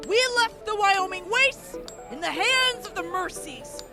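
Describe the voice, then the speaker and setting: An actor playing a composed female army major reporting on her unit, measured and earnest. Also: extremely high pitch, lively intonation, loud music behind the voice, heavily acted. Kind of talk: audio drama acting.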